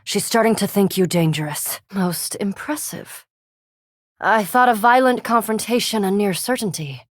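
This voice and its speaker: An actress voicing a haughty, scholarly elf vampire in a fantasy video game, with formal, precise diction and dry, disdainful sarcasm. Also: female voice, mid-range voice, animated, moderate pace, dry recording, lightly acted. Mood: cool, intrigued.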